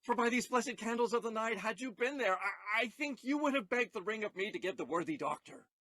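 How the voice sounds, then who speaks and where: High, bright, ardent pleading Shakespearean husband acted by a man. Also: animated, a little fast, no echo. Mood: pleading, defensive. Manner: earnest, emphatic pleading. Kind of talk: Shakespearean audio-play acting.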